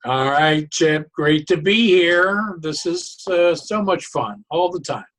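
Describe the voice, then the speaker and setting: A man in his sixties or older chatting as an interview guest, halting and reflective, often pausing mid-sentence. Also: fairly high pitch, animated, a bit bright. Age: 60s or older. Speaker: podcast guest, psychologist and humor speaker. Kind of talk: casual interview chat.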